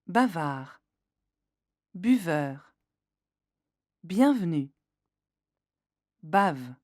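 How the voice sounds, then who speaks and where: A woman reading French textbook listening drills with careful, deliberate enunciation, recorded close in a studio. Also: fairly low pitch, sing-song, a little mellow, dry recording. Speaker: textbook audio reader. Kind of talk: slow, clear read-out of French drill prompts.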